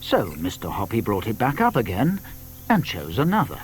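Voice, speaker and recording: A British man narrating a children's story in an expressive, scripted storytelling style. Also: lowish voice, normal speed, slight background noise, rough recording.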